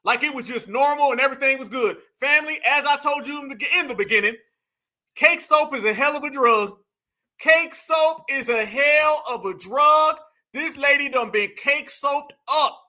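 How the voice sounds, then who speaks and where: A man hosting a reaction commentary show, talking in a brash, slangy, mocking way and sometimes breaking into shouts. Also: very high voice, moderate pace.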